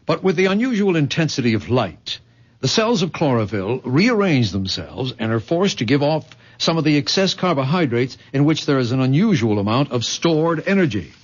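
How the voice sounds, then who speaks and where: An actor playing an earnest, protective young fiancé in a radio drama, speaking carefully and patiently. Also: male voice, fairly high pitch, big pitch swings, moderate pace. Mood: earnest, explaining. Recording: muffled vintage radio drama recording.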